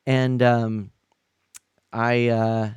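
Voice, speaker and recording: A man's voice: medium pitch, little pitch movement, drawn-out words, clean tone, dry recording, highs cut off. A man hosting his own live stream, chatting in an upbeat, casual way with frequent laughs and fillers. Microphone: streaming microphone.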